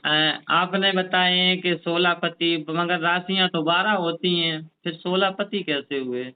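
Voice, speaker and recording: Casting: man, agreeable and conversational, smooth higher voice through a narrow phone line. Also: fairly even intonation, a little slow. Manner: agreeable, brief replies. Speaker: religious storyteller on a call. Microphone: phone call. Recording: recorded phone call, narrow sound.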